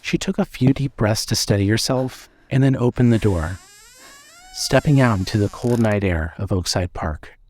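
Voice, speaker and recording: A man reading scripted narration for an audio drama in a measured way. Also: fairly low pitch, darkish tone.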